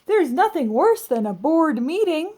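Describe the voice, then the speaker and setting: A woman's voice: sits high, very animated, measured pace, dark tone, pitched above the performer's own voice. A woman reading the part of a cheerful, eager, literal-minded babysitter in a children's storybook, with emphatic, exclaiming delivery.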